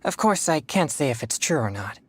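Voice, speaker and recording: A man's voice: a little high, sing-song, brisk, slightly rough, no echo. A man voicing a polite, earnest young exorcist in a game cutscene. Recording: game cutscene with faint music.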